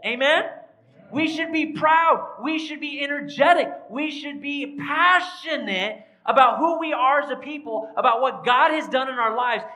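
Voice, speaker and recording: A man in his forties preaching a sermon in a large church, tired after a recent cold, swinging from soft asides to loud emphatic lines. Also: very high-pitched, rough recording. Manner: animated, pacing the stage with sweeping gestures. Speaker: pastor. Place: large church sanctuary, indoors.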